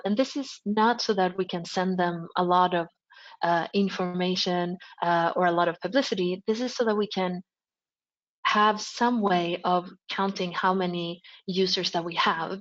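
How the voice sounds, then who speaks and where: A woman with a fairly low voice presents a webinar, walking through a site step by step. She talks in an explanatory way, with many fillers and self-corrections. The audio is dull and lo-fi. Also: fairly even intonation, moderate pace. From Sweden. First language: Swedish. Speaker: project presenter. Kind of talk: webinar screen-share walkthrough.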